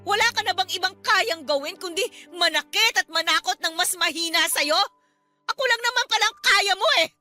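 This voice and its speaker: Radio drama wife: Filipino accent, extremely high, sharp voice, fed up and emotional, scolds back defiantly. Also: female voice, fairly quick, faint music behind. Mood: bitter scolding. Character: fed-up, defiant, hard-working wife and mother.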